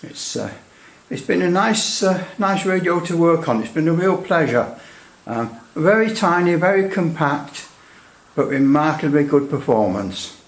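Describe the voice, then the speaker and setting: Man in an echoey room, halting delivery. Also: fairly high pitch, expressive. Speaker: radio repair hobbyist presenter. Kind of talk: casual hands-on equipment demonstration.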